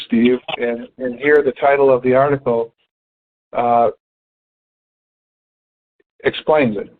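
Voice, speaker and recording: A man co-hosting a financial conference call, explaining in measured, halting phrases over a tinny telephone line. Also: mid-range voice. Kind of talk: explanatory discussion, partly reading articles aloud.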